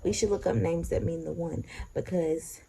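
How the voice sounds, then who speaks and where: An expectant mother chatting casually at home in a home vlog, leaning on "like" as she talks, sometimes speaking softly to her unborn baby. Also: lowish voice, fairly even intonation, normal speed, gravelly. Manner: chatty, leans on "like" a lot.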